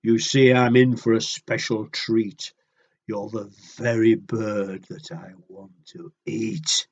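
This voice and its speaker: Man doing a slow, menacing big bird of prey. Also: lowish voice, fairly even intonation, muffled recording. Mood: gloating menace. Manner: slow, drawn-out, gloating.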